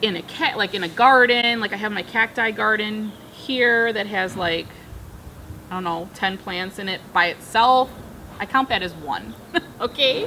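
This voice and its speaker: Thirtysomething woman chatting away while repotting plants outside, bright voice, bit of backyard noise. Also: mid-range voice. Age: 30s. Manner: chatty, upbeat, talks while working with her hands. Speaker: plant vlogger. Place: outdoor backyard patio. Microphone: camera framing from across a table.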